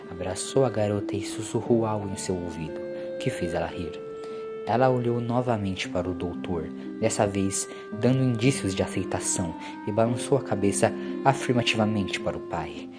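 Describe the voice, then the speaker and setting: A man with a Brazilian accent reading a scripted audio story as its narrator. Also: low-pitched. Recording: music under the voice. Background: music.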